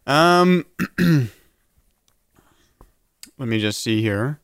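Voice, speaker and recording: A man's voice: medium pitch, animated, extremely slow, smooth, dry recording, studio quality. A man co-hosting a casual chat podcast about a TV episode, reading notes aloud with stumbles and restarts. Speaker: podcast co-host.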